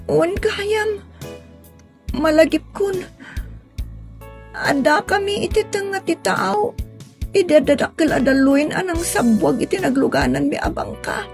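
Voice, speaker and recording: A woman's voice: high-pitched, measured pace, gravelly, pauses often, faint music behind. A woman playing an emotional, lovelorn young daughter with a plaintive manner in a sentimental audio drama. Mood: distraught.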